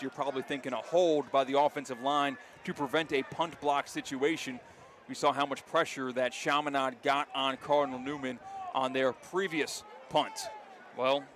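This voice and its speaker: An American man calling live football play-by-play, descriptive and animated, from an outdoor stadium. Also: mid-range voice. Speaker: play-by-play announcer. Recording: live stadium broadcast with loud background noise. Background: loud stadium noise.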